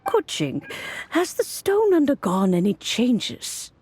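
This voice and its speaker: An actress voicing an elderly, fond grandmotherly mentor in a game cutscene who talks gently and unhurriedly. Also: on the high side, wildly swooping pitch, husky, no room sound, exaggerated voice. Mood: serene fond. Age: older. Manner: gentle, unhurried, softly laughing. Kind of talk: video game cutscene voice acting.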